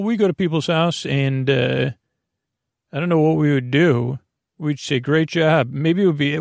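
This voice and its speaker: A man hosting a bedtime podcast in a creaky, gravelly voice, rambling in a halting, meandering way and drifting into tangents mid-sentence. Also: medium pitch, no room sound, studio quality. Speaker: podcast host. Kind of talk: rambling bedtime monologue for falling asleep.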